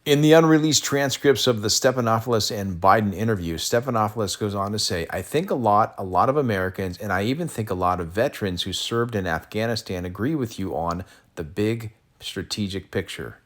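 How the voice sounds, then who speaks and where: Low-voiced man reading transcripts. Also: a little fast, hardly any pauses.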